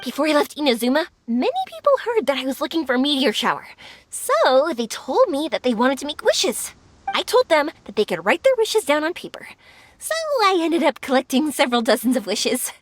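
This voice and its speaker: A woman playing a cheerful, earnest young dreamer in an anime video game, with a sincere, expressive manner. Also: sits high, a little fast, slight background noise. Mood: earnest, reflective. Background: music.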